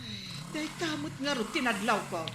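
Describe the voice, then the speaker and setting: A woman's voice: sits high, lively intonation, normal speed, noisy background. An actress playing a fed-up woman neighbor who complains and scolds in a radio comedy drama. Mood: complaining. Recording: echoey room.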